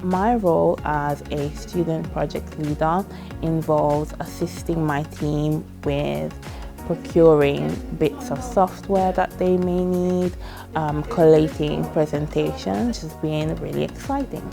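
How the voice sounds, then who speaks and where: A woman's voice: low-pitched, steady tone, slow, vocal fry. A young woman born in Italy, an architecture student, giving a composed, formal testimonial. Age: young adult. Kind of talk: interview testimonial. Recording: music bed under voice.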